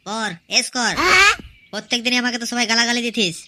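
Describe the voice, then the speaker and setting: A woman's voice: a little high, steady tone, fast, noisy background. A performer voicing a brash young village man in a cartoon, cocky and crude, bossing his family around. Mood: boastful.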